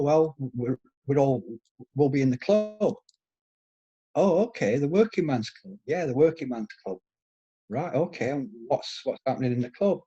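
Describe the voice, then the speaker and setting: Husky middle-aged Yorkshire man, casual storyteller, mid pitch, loose and rambling. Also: warm tone, lo-fi sound. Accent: Yorkshire, softened by years in Scotland. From England, Yorkshire. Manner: rambling storyteller with frequent restarts. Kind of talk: casual conversation with anecdotes.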